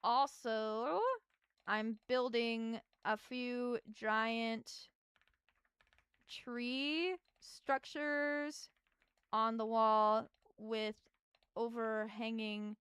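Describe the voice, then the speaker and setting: A woman's voice: a little high, fairly even intonation, drawn-out words, pauses often, a bit bright, smooth, no echo. A young adult woman streaming as a VTuber, chatting casually with her chat while she builds in a game and thinks aloud.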